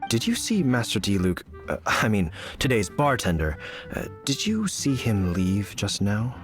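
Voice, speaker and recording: An actor playing an earnest, easily flustered knight in a video game, polite, wordy and hesitant. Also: male voice, lowish voice, wildly swooping pitch, measured pace, faint music behind.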